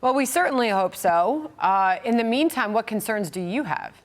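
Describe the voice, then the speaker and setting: A woman's voice: on the high side, animated, normal speed, a little hiss or hum. A woman anchoring a live news program, interviewing in a conversational style.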